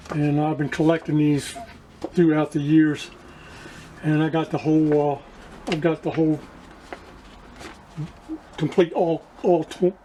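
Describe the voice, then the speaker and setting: A man giving a casual hands-on demonstration to viewers as a hobbyist, haltingly searching for words and repeating himself. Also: on the high side, warm tone, slight background noise, poor audio. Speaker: radio restoration hobbyist presenting to viewers.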